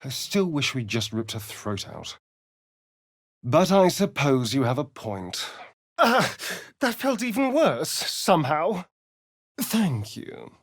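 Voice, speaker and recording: An actor playing a sardonic, preening vampire elf rogue in a video game, with an arch, theatrical, drawling delivery. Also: male voice, on the high side, big pitch swings, slow, long pauses, no echo, studio quality, exaggerated voice. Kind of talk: video game character dialogue.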